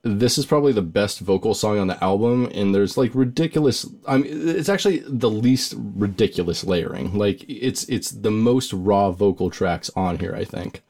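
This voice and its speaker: A man with a fairly low voice talks through a casual music podcast. He rambles with plenty of fillers and stops to act out silly mock quotes. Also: normal speed, hardly any pauses, darkish tone. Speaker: podcast co-host.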